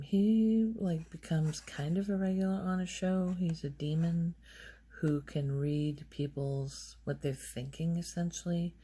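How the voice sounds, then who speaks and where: Slow, soft-spoken woman with creaky voice. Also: low voice. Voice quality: soft, breathy, often slipping toward a whisper. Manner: hesitant, musing, thinking aloud. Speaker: ASMR video creator. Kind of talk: soft-spoken ASMR ramble.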